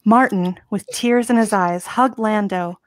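A woman narrates a comic script, reading out the stage directions. Her voice sits at a middle pitch and is recorded dry, with no room sound. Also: a little slow, no echo. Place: home, video call.

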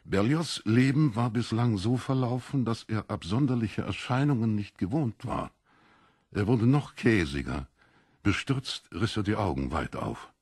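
A man reads literary fiction as a dramatic narrator. His voice is low and husky, with deliberate, expressive phrasing, and the sound is intimate and dry. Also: no echo.

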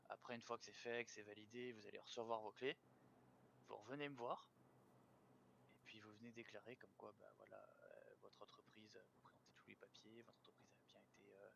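A man's voice: fairly low pitch, fairly even intonation, a little fast, gravelly, a little hiss or hum. A man playing a financial officer in a roleplay game, explaining procedure patiently and methodically. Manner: patient, methodical, many fillers, drops to quiet asides.

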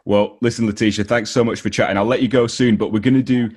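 A young man hosting a casual interview over a video call, asking relaxed conversational questions. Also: on the low side, fast, husky. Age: young adult. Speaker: interviewer, host. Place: indoor home room, video call.

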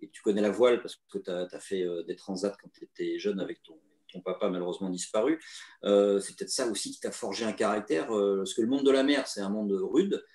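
Lowish-voiced man in an echoey room. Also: a little fast. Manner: lively introductions, names guests at length. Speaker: webinar host. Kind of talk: hosting an online webinar.

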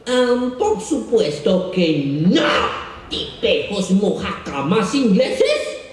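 A man's voice: high voice, huge pitch swings, distant mic, exaggerated voice. A young man playing a mocking castle guard who puts on a fake French accent on a school stage. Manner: taunting, drawn-out insults. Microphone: far camera in the audience. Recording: echoey theater hall with music.

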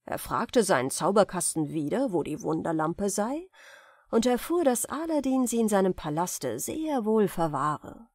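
A woman reading a fairy tale as an audiobook narrator, in expressive, carefully enunciated storytelling. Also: mid-range voice, measured pace, dry recording, studio quality.

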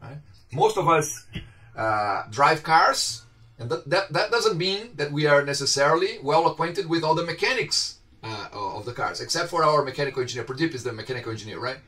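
A middle-aged man with a Brazilian accent teaches an online class from a home office, his slightly higher voice sitting a little back from the webcam mic. He talks conversationally, often asking his students questions. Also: lively intonation, brisk, bright, forceful tone. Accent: Brazilian Portuguese. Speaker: university lecturer. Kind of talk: informal online lecture. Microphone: webcam on a video call. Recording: slightly distant video-call audio.

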